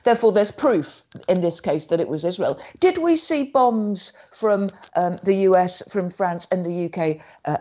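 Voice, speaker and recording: Halting woman ranting. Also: fairly low pitch, darkish tone. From United Kingdom. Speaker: panel guest. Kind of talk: casual panel discussion.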